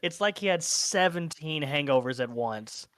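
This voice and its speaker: A man playing a tabletop game, narrating his character's actions in a drawn-out, amused way. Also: fairly high pitch, animated, fairly bright tone, no echo, studio quality.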